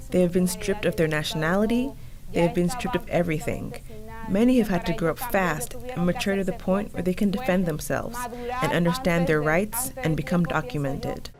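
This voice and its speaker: A woman's voice: fairly low pitch, a little fast. A woman delivering a translated interview as a voice-over for a news report. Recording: busy crowd sound behind voice.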